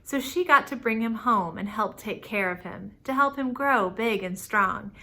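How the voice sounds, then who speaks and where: A woman's voice: on the high side, moderate pace, pushed and bright. A woman hosting a storytime, speaking simply and expressively to young children.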